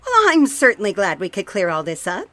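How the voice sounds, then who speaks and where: A woman's voice: medium pitch, brisk, no echo. A woman playing a proper, fussy lady judge with a prim manner in a comic audio drama. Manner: prim, expressive.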